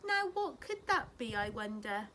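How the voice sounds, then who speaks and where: A woman's voice: on the high side, sing-song, unhurried, sharp tone, a little hiss or hum, full character voice. A woman reading a storybook, voicing a polite, fussy elderly lady in a prim, fluttery way. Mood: musing.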